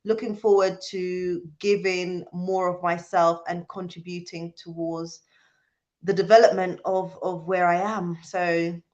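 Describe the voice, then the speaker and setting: A woman's voice: lowish voice, level tone, measured pace, a little mellow, dull audio. A British woman hosting a casual livestream, talking to viewers in an earnest, emphatic way. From born in Jamaica, raised in the UK. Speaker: livestream host. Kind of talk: casual live chat with viewers, reading out comments.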